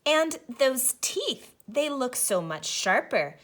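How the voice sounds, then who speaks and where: Plucky young storybook girl, played by a woman: high, bright, wide-eyed and exclaiming. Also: very animated, takes it slowly, exaggerated voice. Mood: astonished, suspicious. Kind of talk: storybook character voice.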